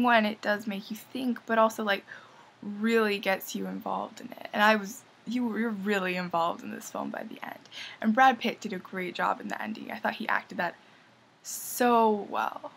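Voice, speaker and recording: A woman's voice: medium pitch, vocal fry, fairly bright tone, a little hiss or hum. A young woman casually reviewing the films she watched, rambling and enthusiastic with lots of fillers. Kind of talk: casual movie review vlog.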